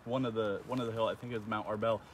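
An American man in his thirties with a fairly low voice talks casually as a visiting pastor being interviewed. He is outdoors, with clear ambient noise behind him. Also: little pitch movement, racing. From Iowa, United States. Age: 30s. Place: outdoors on a lakeshore beach.

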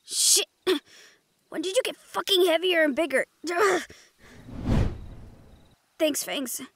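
A woman's voice: very high voice, lively intonation, takes it slowly, a bit bright, full character voice. A woman playing a foul-mouthed, protective young woman in an audio roleplay, brash and curious. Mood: excited. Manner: swears freely, brash and eager.

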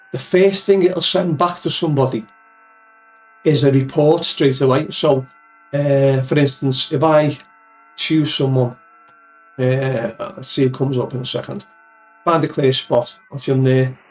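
A man's voice: on the high side, distant mic. A man explaining things step by step in a casual screen tutorial, informally repeating points for emphasis. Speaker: amateur radio operator giving tips. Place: indoors, voice-over of screen recording.

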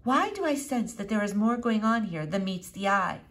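A woman's voice: mid-range voice, very animated, normal speed, natural voice. A woman voicing a pompous, long-winded eleven-year-old boy with oddly formal, precise speech in a children's audiobook. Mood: courtly, solemn.

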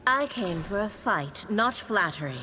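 A woman's voice: medium pitch, expressive, a little slow, close to an everyday voice. An actress playing a fierce, loyal and proud warrior woman in a video game, curt and challenging. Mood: defiant. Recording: loud game background noise.

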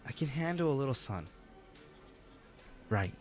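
A man playing a wealthy, devoted, protective lover in a romantic audio roleplay, speaking tenderly and earnestly with halting phrases. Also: medium pitch, big pitch swings, faint music behind. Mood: lighter, affectionate.

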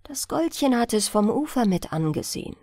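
A woman reading a fairy tale as a bedtime audiobook, in an expressive storytelling manner. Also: fairly high pitch, normal speed, no echo, studio quality. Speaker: audiobook reader.

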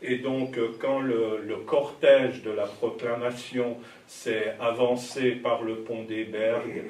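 A middle-aged man giving a history lecture at a lectern in a hall, telling the story a little haltingly. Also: medium pitch, level tone, darkish tone. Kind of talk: public history lecture, semi-scripted storytelling. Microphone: gooseneck lectern microphone, some distance from mouth. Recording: echoey hall, distant mic, faint noise.

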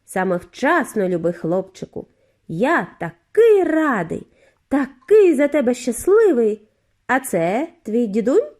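A woman voicing a giddy, eccentric factory owner in a storybook reading, with a high, clear, flute-like voice and effusive, drawn-out greetings. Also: sing-song, a little mellow, heavily acted. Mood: gushing. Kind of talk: audiobook character voice.

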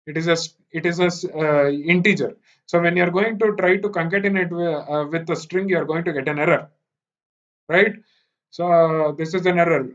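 A man's voice: on the high side, steady tone, brisk. A man with an Indian accent giving a live online programming lecture, repeating himself and often checking that students follow. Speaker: programming teacher. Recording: dull, lo-fi online meeting audio.

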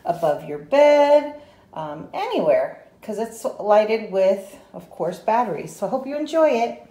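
A woman's voice: mid-range voice, very animated, measured pace, warm tone, echoey room. A middle-aged woman casually explaining things at home, recorded indoors on a handheld phone. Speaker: vlogger's mother showing home decorations. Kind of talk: casual demonstration chat. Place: dining room in a house, indoors.

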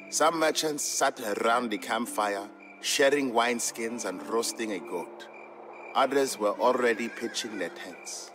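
A man giving a scripted, measured and expressive story narration for a historical game. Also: on the low side.

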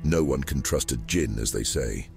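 An ancient, burdened djinn hiding inside a vampire. The voice is rock-bottom low and crackles with gravel. It belongs to a fantasy roleplay audiobook, with soft music underneath. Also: male voice, normal speed. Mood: guarded.

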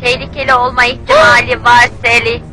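A female computer assistant from a cartoon. She reports calmly in a bright, completely flat, matter-of-fact voice, faint music behind. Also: a little high, a little fast. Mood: detached. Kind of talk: animated cartoon dub acting.

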